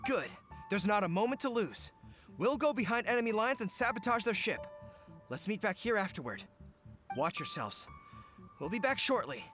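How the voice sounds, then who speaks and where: A young military general from a video game. His voice sits high for a man and moves with lively inflection. He is earnest and dutiful, speaking in orderly, formal military terms. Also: normal speed, pauses often, faint music behind. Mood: relieved, resolute. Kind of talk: acted game dialogue.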